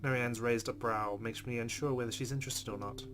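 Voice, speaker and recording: A man hosting a let's play, reading the game's narration aloud expressively with quieter casual asides. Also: medium pitch, fairly even intonation, a little fast, background noise. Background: guitar game music.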